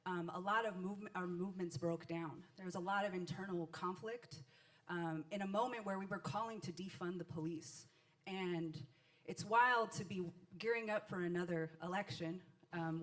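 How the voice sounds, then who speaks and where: Woman from Los Angeles, American accent, lower-pitched, earnest and searching, an organizer posing a personal question. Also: steady tone, moderate pace. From Los Angeles, United States. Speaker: community organizer asking an audience question. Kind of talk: personal, reflective audience question.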